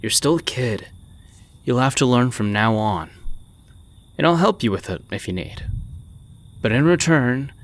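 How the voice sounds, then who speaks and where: A man's voice: medium pitch, lively intonation, a little slow, long pauses. A man playing a casual, teasing smoker in his late twenties for a manga dub, with a wry manner. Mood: teasing, reassuring. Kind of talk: anime-style manga dub acting.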